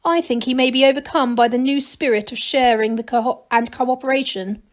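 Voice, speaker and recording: A woman voicing a courteous, shrewd male gnome count with a formal, measured manner, from a storybook. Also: high voice, steady tone, natural voice. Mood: dry, courteous.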